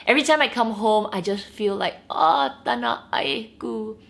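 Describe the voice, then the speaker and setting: A woman's voice: a little high, normal speed, vocal fry, a bit bright. A Malaysian woman in her late thirties, with an accent tinged by years in Singapore, answering viewer questions in a bubbly, chatty way. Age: 30s. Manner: bubbly and chatty, with frequent laughs and squeals. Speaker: beauty and luxury vlogger. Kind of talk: casual Q&A chat to camera.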